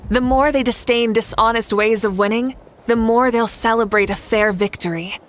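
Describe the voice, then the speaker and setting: A woman's voice: on the high side, steady tone, moderate pace, lightly acted. A woman voicing a composed, confident young female ruler in a video game, assured and good-humored. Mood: assured, earnest.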